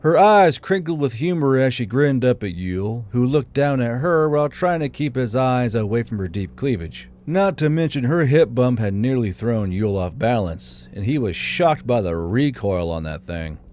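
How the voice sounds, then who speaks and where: A man's voice: mid-range voice, lively intonation, normal speed, few pauses, a little mellow, a little hiss or hum. A man reading scripted audiobook narration of a story.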